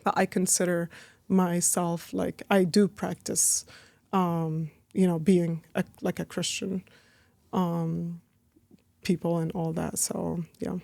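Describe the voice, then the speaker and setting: A woman's voice: lowish voice, little pitch movement, slow, creaky, mellow, no room sound. Chatty Palestinian-born woman, dry sound. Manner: chatty, heavy use of "like" and "you know". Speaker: guest, business owner. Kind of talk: casual interview talk.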